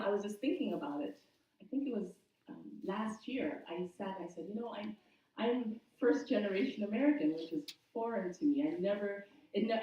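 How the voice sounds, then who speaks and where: A woman from Zimbabwe in her thirties, sharing her personal faith story at a church service in a steady, reflective way with frequent ums. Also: on the low side. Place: church sanctuary. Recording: echoey room from far off, dull.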